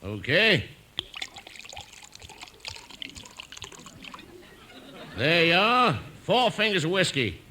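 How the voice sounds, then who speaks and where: An actor playing a skeptical, folksy saloon bartender in a 1950s radio comedy sketch. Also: male voice, sits high, very animated, unhurried, bright, forceful tone, heavily acted. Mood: obliging. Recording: noisy, clicky old radio broadcast.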